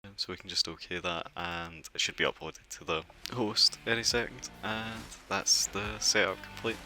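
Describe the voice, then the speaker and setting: Man, deep voice, gravelly, relaxed step-by-step software tutorial, keyboard clicks. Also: normal speed, faint music behind. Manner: relaxed step-by-step explaining with fillers. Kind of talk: screen-recorded software walkthrough.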